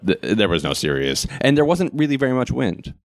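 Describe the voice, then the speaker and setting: An American man co-hosting a podcast, chatting casually with wry, animated humor. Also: medium pitch, brisk, no echo, clean studio sound. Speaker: podcast co-host.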